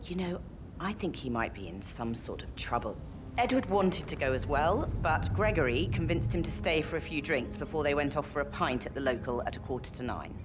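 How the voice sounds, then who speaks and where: An actress playing a brisk, gossipy upper-class British businesswoman in a video game, wry and articulate. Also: low voice, lively intonation. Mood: wry, confiding. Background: background music.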